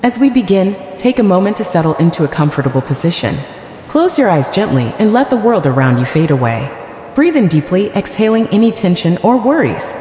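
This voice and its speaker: A woman leading a scripted guided meditation in a gentle, soothing, deliberate manner. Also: mid-range voice, sing-song, echoey room, big-room sound, slight background noise.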